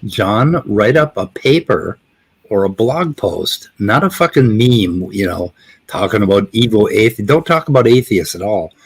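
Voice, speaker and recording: Low husky man, dryly sardonic. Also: lively intonation, measured pace. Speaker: host. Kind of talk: casual panel discussion.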